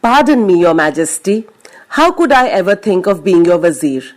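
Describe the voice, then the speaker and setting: A woman's voice: lowish voice, sing-song, moderate pace. A woman voicing a timid, deferential male court musician, pleading and humble, in a children's story.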